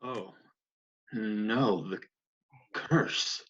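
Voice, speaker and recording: A man playing a hesitant, awkward housemate with a raspy, creaky voice in a comedy table read. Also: lowish voice, drawn-out words, fairly bright tone, lo-fi sound, heavily acted. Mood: uneasy.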